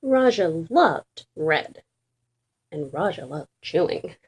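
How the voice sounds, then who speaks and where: A woman reading a children's storybook aloud in an expressive, deliberate and emphatic way for young listeners. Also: on the low side, slightly rough. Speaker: children's book reader.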